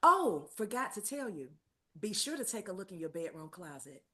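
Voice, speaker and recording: Casting: actress playing an older aunt, fond and encouraging, with highly expressive melody, for a drama table read. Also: female voice, normal speed, husky, heavily acted.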